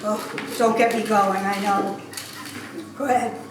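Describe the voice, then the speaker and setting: A woman's voice: mid-range voice, fairly even intonation, unhurried, distant mic. A woman making casual, offhand asides during an amateur play reading. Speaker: amateur actor. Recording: very echoey room, faint background noise.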